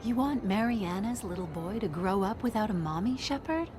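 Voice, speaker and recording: A woman's voice: mid-range voice, lively intonation, moderate pace, close to an everyday voice. A woman playing a cold, cruel, taunting female alien villain in a cinematic video game. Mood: cruelly taunting. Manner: taunting, menacing. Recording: noisy ambience behind the voice.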